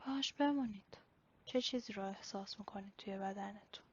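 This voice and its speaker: Lady doing guided meditation, mid pitch, slow w pauses, bit muffled audio. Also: a little mellow, breathy edge. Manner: slow, pausing, instructional. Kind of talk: guided meditation, body scan. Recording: slightly dull, lo-fi recording.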